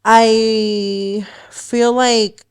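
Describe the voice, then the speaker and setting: A woman's voice: fairly high pitch, little pitch movement, very slow, smooth. A woman in her late twenties, co-hosting a podcast in casual chat, talking with lots of "like" fillers. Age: 20s. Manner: chatty, filler-heavy with frequent "like". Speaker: podcast co-host. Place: podcast studio.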